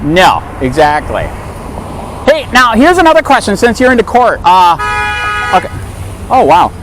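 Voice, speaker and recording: A man in casual street conversation, animated and questioning, quick to agree with the other person. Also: high-pitched, rough recording. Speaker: activist filming a street conversation. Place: outdoors on a street near traffic. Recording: loud street and traffic noise.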